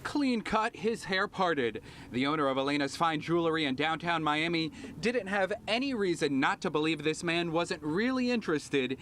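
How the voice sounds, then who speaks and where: A man's voice: on the high side, animated, moderate pace, fairly bright tone, slight background noise. A man narrating a scripted television news package as a reporter.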